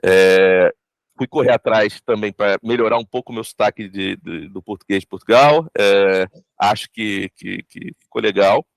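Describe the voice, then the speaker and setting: Male voice actor, reflective interview answers, halting, dry close sound. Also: on the low side, creaky, no room sound. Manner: thoughtful, halting, with many restarts. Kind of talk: behind-the-scenes interview.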